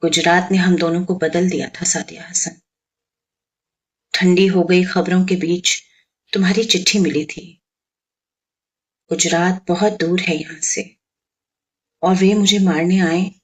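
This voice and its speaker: A poetry reciter with a slow, deliberate delivery and very little pitch movement. The tone is solemn and measured, with pauses between phrases and a hushed, breathy touch. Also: fairly even intonation. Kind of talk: dramatic Hindi poetry recitation.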